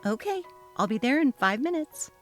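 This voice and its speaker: A woman's voice: high-pitched, sing-song, normal speed, faint music behind, voice pushed up. A woman voicing a polite, guarded female office worker in a dramatically read story, restrained and plain-spoken. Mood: startled. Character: polite, guarded female office employee under a bullying boss. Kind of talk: dramatic reading of a text-message story.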